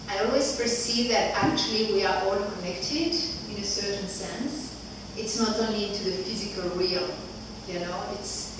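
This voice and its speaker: Woman, 40s, French accent, chatty workshop talk, far from the mic, echoey room. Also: mid-range voice. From Switzerland. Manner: chatty and loose, many "like" fillers. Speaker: workshop facilitator. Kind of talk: casual group talk. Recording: echoey room, distant mic, background noise.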